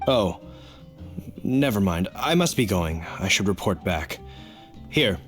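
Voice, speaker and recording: A suspicious but earnest knight from a video game. He talks slowly, formally and hesitantly, with lively rises and falls. Soft music is behind him. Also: sits low, exaggerated voice. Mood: hesitant, polite.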